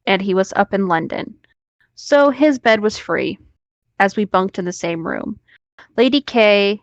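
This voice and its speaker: A woman's voice: mid-range voice, dry recording. Woman reading stories, close dry sound. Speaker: co-host reading online stories aloud. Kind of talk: story reading with casual banter.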